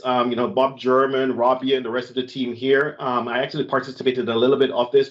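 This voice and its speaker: A man's voice: mid-range voice, muffled recording. A man co-hosting an online developer community call, running through announcements in a conversational way with frequent fillers and restarts. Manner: conversational, warm thanks, many fillers and restarts.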